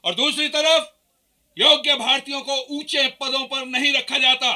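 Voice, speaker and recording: An actor playing a fervent Indian freedom fighter in a radio play, with an impassioned, declamatory delivery. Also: male voice, very high voice, fairly even intonation, normal speed, bright, forceful tone, full character voice. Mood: rousing, impassioned.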